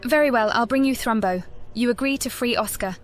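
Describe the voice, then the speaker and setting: A woman's voice: on the high side, brisk, a little hiss or hum, close to an everyday voice. An actress playing a composed, direct female adventurer in a fantasy game. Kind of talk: cinematic fantasy game dialogue.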